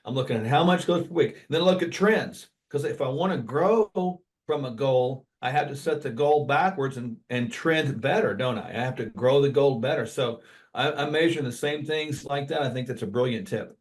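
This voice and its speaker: A man's voice: medium pitch, moderate pace. A man in casual business podcast talk, earnest and agreeable, speaking in catchy sayings.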